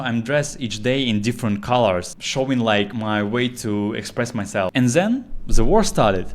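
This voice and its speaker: Earnest young Ukrainian man, halting English. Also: mid-range voice. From eastern Ukraine. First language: Russian. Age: 20s. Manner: earnest, halting non-native English with fillers. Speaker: YouTuber. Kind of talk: personal confessional monologue.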